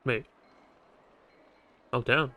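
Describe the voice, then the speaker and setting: A man's voice: very slow, bright, forceful tone, a little hiss or hum. A young man giving slangy, offhand commentary as a game streamer. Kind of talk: casual gameplay commentary.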